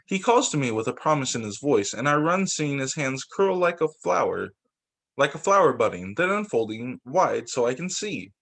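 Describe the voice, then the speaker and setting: Man, teacher, storybook read-aloud, medium pitch, animated intonation, expressive delivery. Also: moderate pace, vocal fry. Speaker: teacher reading picture books aloud to young children.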